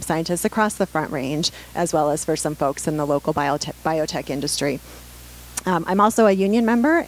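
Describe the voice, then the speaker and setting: A woman's voice: fairly low pitch, darkish tone, low-quality recording. A woman in her forties, a council member running for mayor, giving an earnest prepared speech from notes into a handheld mic in a library meeting room. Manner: projecting, earnest, reads from notes. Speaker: city councilwoman and mayoral candidate. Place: library meeting room, standing before seated audience.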